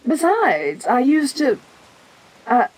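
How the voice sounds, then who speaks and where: A woman playing an ancient, domineering giantess kaiju queen in an audio roleplay, imperious and teasing yet protective, speaking with deliberate pauses. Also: high-pitched, sing-song, darkish tone, breathy edge, full character voice, poor audio. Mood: commanding, reassuring. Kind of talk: second-person audio roleplay acting.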